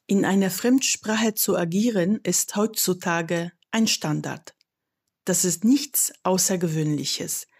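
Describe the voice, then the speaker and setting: Polish-accented woman voice coach, unhurried and instructive, slightly breathy tone. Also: lowish voice, a little mellow. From Poland. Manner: measured and instructive, demonstrates examples. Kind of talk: slow, deliberate expert explanation.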